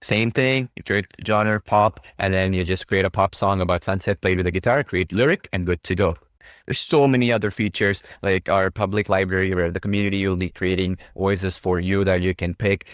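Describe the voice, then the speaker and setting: Brief: deep male presenter, casual upbeat demo delivery, dry close sound. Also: steady tone, moderate pace, dry recording. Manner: upbeat, breezy, says "boom" often. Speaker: product demo host. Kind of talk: casual screen-recorded walkthrough.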